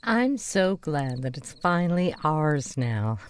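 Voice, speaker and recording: A woman's voice: sits low, very animated, slow, smooth, heavily acted. A woman playing an attentive middle-aged wife hosting friends at a cabin, in an amateur radio drama. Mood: homely. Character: middle-aged wife Teresa, warm hostess. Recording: music score behind.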